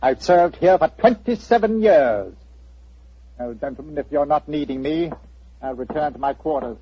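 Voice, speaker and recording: An actor playing a stiff, guarded old butler in a 1940s radio drama, formal and secretive. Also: male voice, a little high, animated, normal speed, a little hiss or hum, close to an everyday voice. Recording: dry, muffled old radio recording.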